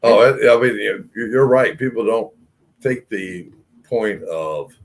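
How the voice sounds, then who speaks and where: A man's voice: on the low side, slow pace. A man talking casually on a panel as a paranormal investigator. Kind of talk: casual chat. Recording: noisy line with background ambience.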